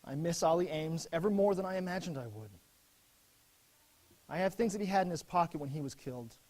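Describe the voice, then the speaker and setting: A young army officer played by a man reads his letters home in a dramatic historical presentation. His voice sits a little high for a man, and he speaks earnestly and solemnly, with measured grief. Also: darkish tone, lightly acted. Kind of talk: dramatic reading of wartime letters.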